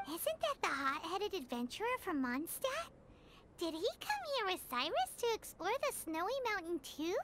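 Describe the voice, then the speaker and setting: A woman voicing a tiny, childlike fairy companion from a video game, squeaky, cheery and fond of food. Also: big pitch swings, normal speed, noisy background. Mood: chirpy, encouraging. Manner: refers to self in third person, cheery.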